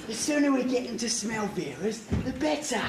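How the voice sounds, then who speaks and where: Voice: mid-range voice, big pitch swings, normal speed. An actor playing a blustering, cowardly gangster henchman in a stage pantomime, recorded in a noisy, echoey hall. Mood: bossy, grumbling. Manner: blustering, bickering. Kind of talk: amateur stage pantomime comedy.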